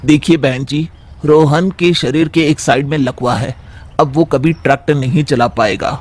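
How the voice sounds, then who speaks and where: A man's voice: on the high side, fairly even intonation, normal speed, voice pushed down, natural voice. A man voicing a village doctor who gives grave news in a formal, matter-of-fact way.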